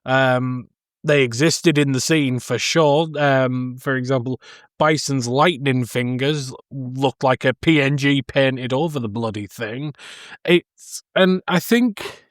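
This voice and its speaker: A man's voice: medium pitch, slow pace, no room sound, clean studio sound. A man in his thirties co-hosting a podcast, casually discussing film in hesitant, stop-start phrases. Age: 30s. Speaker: podcast co-host.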